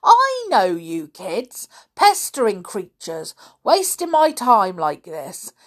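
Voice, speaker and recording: Woman acting a grumpy, loud old caretaker man. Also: mid-range voice, animated, slow pace, voice pushed up. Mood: scolding. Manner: irritable, scolding, rustic turns of phrase.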